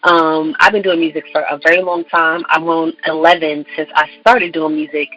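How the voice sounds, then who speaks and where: A young woman from Brooklyn guesting on a radio interview, with a bright, forceful voice. She talks in an upbeat, chatty way and fills her speech with "um" and "like." The sound is dull and lo-fi, with faint music behind her. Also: low voice, flat delivery, moderate pace. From Brooklyn, New York. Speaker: guest artist.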